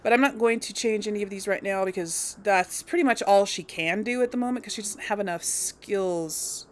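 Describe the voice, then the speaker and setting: A woman hosting a let's play, chatting casually about her game choices and thinking out loud with plenty of ums. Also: medium pitch, normal speed. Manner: chatty and unsure, thinking aloud with many ums. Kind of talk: casual gameplay commentary.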